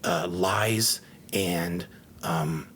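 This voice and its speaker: A man's voice: low-pitched, extremely slow, fairly bright tone, a little hiss or hum. A man co-hosting a comedy podcast, bantering in a crude, rambling, mock-grandiose way and repeating himself. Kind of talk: unscripted comic banter.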